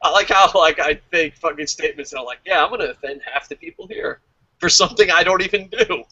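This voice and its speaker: A man's voice: a little high, a little fast, no room sound, highs cut off. A man hosting a casual wrestling review show, joking and swearing freely as he reads out listener comments. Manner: profane, joking, animated.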